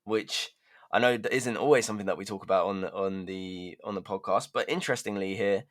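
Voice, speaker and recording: A man with a fairly low voice chats loosely on a news podcast. He hedges his points with filler phrases as he explains things. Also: fairly quick. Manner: chatty, hedging with "sort of". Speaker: podcast co-host. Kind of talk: casual podcast discussion.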